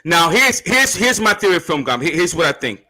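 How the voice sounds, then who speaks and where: A man hosts a casual online chat show in a high, ringing voice. He talks in an animated way and often repeats and restarts his sentences. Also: brisk. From Puerto Rico. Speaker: host.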